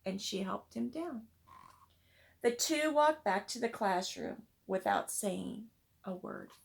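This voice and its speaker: A woman narrates a children's story aloud. She takes her time, with very animated intonation and a creaky edge to her medium-pitched voice. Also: takes it slowly. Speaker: storybook reader.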